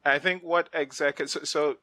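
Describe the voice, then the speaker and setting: A middle-aged man from West Africa, a venture investor speaking as a guest in a casual, animated interview, often restarting his sentences. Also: on the high side, brisk, no echo. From West Africa (Ghana, Nigeria). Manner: animated, frequent restarts and laughs. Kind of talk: conversational interview.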